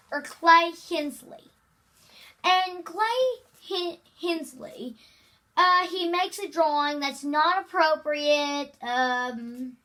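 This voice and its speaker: A woman's voice: high voice, bright, forceful tone. A female amateur reader reads a children's novel aloud with casual asides, stumbling over words and repeating them. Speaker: amateur reader hosting a read-aloud video.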